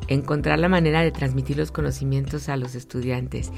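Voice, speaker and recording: A woman's voice: a bass voice, fairly even intonation, fairly quick, vocal fry, a little mellow, faint music behind. A woman in her fifties with a Mexican accent, a university lecturer answering conversationally as an interview guest. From Aguascalientes, Mexico. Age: 50s.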